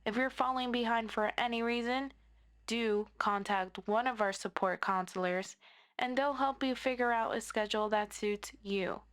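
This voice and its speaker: A woman's voice: fairly high pitch, moderate pace, a bit bright, clear, resonant tone, natural voice. A woman playing a friendly superhero best friend in a scripted audio roleplay, giving an orderly, encouraging orientation lecture. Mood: instructive.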